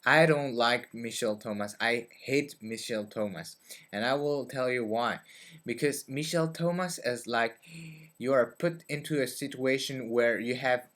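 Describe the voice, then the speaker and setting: A young man from Belgium, talking to his webcam in a dim bedroom, hesitant with many fillers. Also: medium pitch. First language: French. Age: young adult. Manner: hesitant, many fillers and restarts, gestures with hands. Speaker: vlogger. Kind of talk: casual talk to camera about learning languages. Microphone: webcam at desk.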